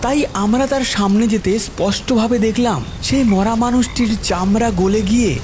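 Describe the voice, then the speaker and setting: A man's voice: high voice, moderate pace, a little mellow. Male horror story narrator over noisy ambience. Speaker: first-person story narrator.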